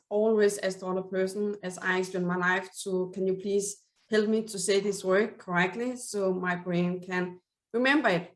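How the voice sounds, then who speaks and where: Earnest Danish-accented woman host. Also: mid-range voice. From Denmark. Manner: searching for words, earnest. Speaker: interview host. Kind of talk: casual interview.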